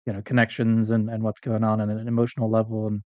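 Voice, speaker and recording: A man's voice: on the low side, completely flat delivery, quick pace, warm tone, no echo, highs cut off. A middle-aged man chatting casually as a podcast guest, in an informal, rambling way. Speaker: podcast guest, audio fiction writer. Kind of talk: casual conversational interview.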